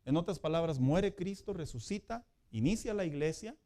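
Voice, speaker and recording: A man preaching a sermon as pastor in a conversational way, building to loud emphatic peaks. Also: fairly high pitch, expressive, moderate pace.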